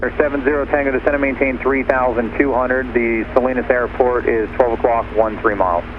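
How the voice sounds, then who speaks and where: A man's voice: medium pitch, fairly even intonation, a little fast. Male controller on dull, noisy radio. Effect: aircraft radio. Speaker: air traffic controller. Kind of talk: clipped procedural radio instructions. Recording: dull aircraft radio with clear engine noise.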